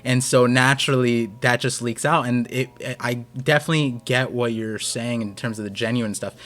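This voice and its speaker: A man's voice: mid-range voice, steady tone, normal speed, a bit bright, faint music behind. A man hosting a stream, talking casually and rambling with lots of restarts. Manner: rambling, many fillers and restarts. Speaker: host.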